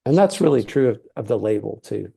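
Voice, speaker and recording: Middle-aged guy from thailand, mellow gravelly voice, rambly easygoing interview chat. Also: on the low side, lively intonation, a little fast. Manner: easygoing, rambling with many fillers. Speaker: guest, TV series producer. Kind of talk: casual interview conversation.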